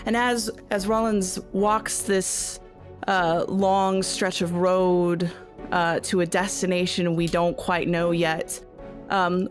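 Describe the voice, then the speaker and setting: A woman game master narrating a tabletop story in a halting, thoughtful way, with atmospheric phrasing and questions to the player. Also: lowish voice. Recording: music under the voice. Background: background music.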